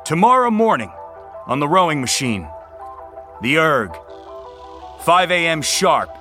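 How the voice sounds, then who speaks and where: Pompous, bright-voiced young team captain. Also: male voice, a little high, big pitch swings, a little slow, soft background music, full character voice. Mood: contemptuous, bullying. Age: young adult. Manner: pompous, commanding, projected speech. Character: arrogant, domineering young college rowing team captain. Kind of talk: scripted audio drama acting.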